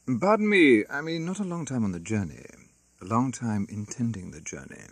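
A man's voice: mid-range voice, sing-song, normal speed, no echo. A man voicing a haughty, cruel aristocratic uncle in an audiobook, imperious and coldly polite. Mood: cold, polished disdain. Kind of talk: audiobook character acting.

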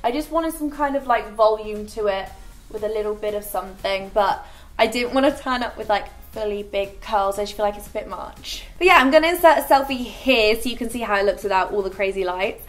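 A woman's voice: mid-range voice, a little fast. Brief: young woman, beauty vlogger, chatty and rambling, light music under the voice. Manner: chatty, filler-heavy with frequent "like". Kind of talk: casual chatty get-ready-with-me vlog. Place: bright living room set.

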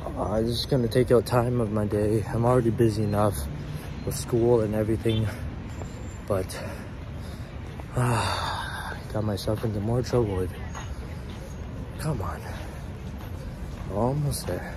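A hoarse young man in pain talks into a phone held out at arm's length while limping outdoors at night. His voice sits fairly low, with groans breaking into his casual vlog chatter. Traffic and city noise carry clearly behind him. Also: fairly even intonation, a little slow, pauses often. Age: young adult. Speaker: vlogger. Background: street traffic.

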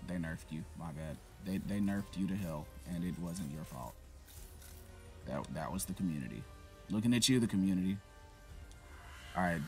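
Deep gravelly man venting over gameplay. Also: fairly bright tone. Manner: rambling, self-mocking, swears and vents at the game. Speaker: gaming channel host. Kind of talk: casual gameplay commentary.

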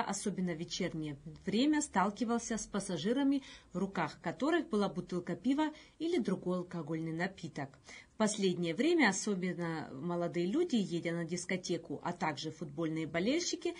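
A middle-aged woman presenting scripted news in a studio, with a slightly husky voice. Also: on the low side, darkish tone. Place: indoor video news studio.

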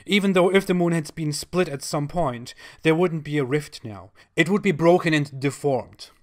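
A man's voice: on the high side, moderate pace. A man delivering sceptical explanatory commentary in a dismissive, matter-of-fact manner.

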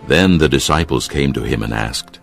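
A man narrating a dramatised Bible audiobook in a measured, solemn storytelling way. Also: a bass voice, gravelly, soft background music.

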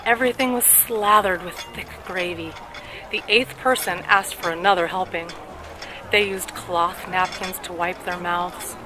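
A woman in her thirties reading a scripted story aloud with deliberate, carefully articulated delivery. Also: fairly low pitch, fairly even intonation, background noise. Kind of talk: scripted story read-aloud for speech practice. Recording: clear background music under the voice. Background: music.

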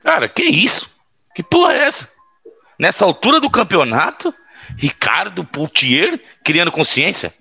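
An actor playing a teasing, foul-mouthed young buddy in an audio drama, raspy and mocking with slangy speech. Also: male voice, high voice, huge pitch swings, unhurried, pushed and bright, full character voice. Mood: incredulous.

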